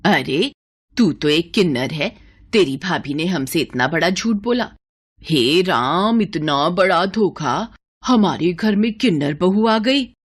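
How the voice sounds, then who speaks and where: A woman's voice: fairly low pitch, animated, slow pace, breathy edge, exaggerated voice. A woman voicing a bitter older mother-in-law in an audio story, scolding and lamenting dramatically. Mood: shocked wailing.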